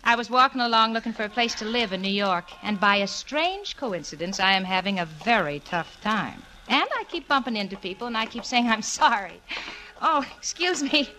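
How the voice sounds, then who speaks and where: Sardonic, exasperated young female roommate, high and very animated, 1940s radio sitcom on crackly, noisy transcription. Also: normal speed. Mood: wry storytelling. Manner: wisecracking, dry sarcasm. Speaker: narrator and co-lead of radio sitcom. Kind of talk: 1940s radio comedy acting.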